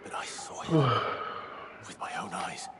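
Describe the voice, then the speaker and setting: A deep, terse, brooding warrior. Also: male voice, sing-song, slow, very noisy. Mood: somber. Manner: terse, low-key. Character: brooding young male warrior hero, reserved.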